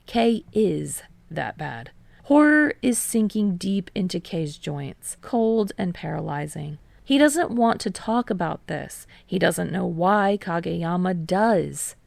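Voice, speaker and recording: Woman, story narration, low pitch, heavy vocal fry, slow scripted reading, dry close sound. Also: sing-song, no echo. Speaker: fan fiction narrator.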